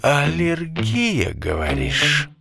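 A man's voice: fairly high pitch, wildly swooping pitch, very slow, gravelly, exaggerated voice. A man voicing a sensible, wryly stern brown bear in a cartoon, speaking in an admonishing way. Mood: sceptical. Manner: wry, admonishing. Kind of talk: cartoon voice acting. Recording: music under the voice.

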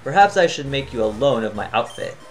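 A man's voice: medium pitch, very animated, a little fast, soft background music, lightly acted. A man reading the lines of a vain, elegant male gym leader from a video game, in a grand, theatrical way. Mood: lofty.

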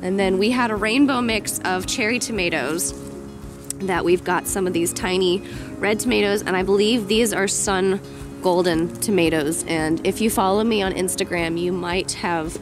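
Enthusiastic woman on a garden tour. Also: on the low side. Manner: enthusiastic, gushing about plants. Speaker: homesteading vlogger. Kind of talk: casual garden tour vlog. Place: outdoor garden.

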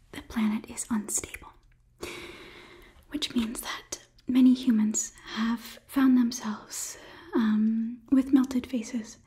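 A woman in her twenties whispering softly and breathily in an ASMR roleplay as a polite, condescending robot overlord, with formal, measured phrasing. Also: on the high side. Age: 20s. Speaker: ASMR performer. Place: small indoor studio set. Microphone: close microphone, off camera.

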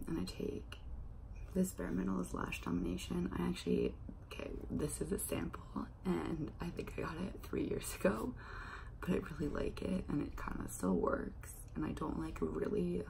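A woman's voice: fairly low pitch, gravelly, noisy background. A young woman in her twenties chatting casually while doing her makeup in her bedroom, losing her voice and talking half in a whisper, rambling and self-deprecating. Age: 20s. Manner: half-whispering, softly to keep quiet for roommates. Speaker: lifestyle vlogger. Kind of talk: casual get-ready-with-me makeup chat. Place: bedroom, indoors. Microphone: phone or laptop camera at arm's length.

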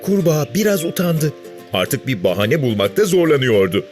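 A man's voice: on the high side, big pitch swings, normal speed, a little mellow, soft background music. A man narrating a scripted story for children.

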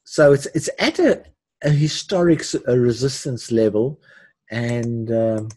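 An older man hosting a live webinar, giving unscripted commentary in a halting way with repeated words and restarts, his voice husky. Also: medium pitch, a little mellow. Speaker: webinar host teaching forex chart analysis.

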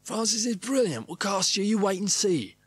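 A man voicing a gruff, childlike, reassuring male actor in a memoir reading. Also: high voice, animated, moderate pace, no echo, voice pushed up.

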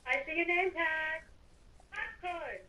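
A woman's voice: very high-pitched, lively intonation, slow pace, bright, forceful tone, a little hiss or hum. A woman chatting casually as she plays a multiplayer roleplay game. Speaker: player in a Minecraft roleplay. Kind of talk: casual gaming chat. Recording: distant voice chat with clear music.

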